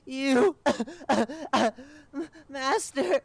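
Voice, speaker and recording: A man's voice: very high voice, very slow, creaky, no echo, pitched above the performer's own voice, heavily acted. A man voicing a terrified, coughing demonic entity that stammers and begs for mercy. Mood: shrieking despair. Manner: stutters and coughs, begging in terror. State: coughing and hacking.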